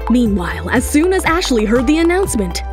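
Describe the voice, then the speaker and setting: Female narrator, high voice, emphatic dramatic storytelling, music underneath. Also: very animated, a little fast. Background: dramatic background music.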